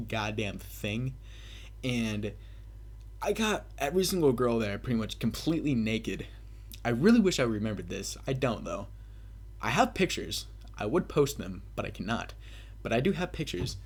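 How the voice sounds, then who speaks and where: Crude, bragging young man telling stories. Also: lowish voice. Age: young adult. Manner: crude, swearing, self-mocking bravado. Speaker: gaming video commentator. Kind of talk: casual rambling party storytelling over gameplay.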